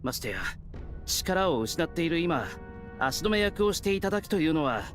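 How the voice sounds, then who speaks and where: A man's voice: fairly high pitch, expressive, quick pace, close to an everyday voice. A man playing a serious, cool-headed young warrior in an anime-style video game, polite and terse, over music. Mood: serious, reserved.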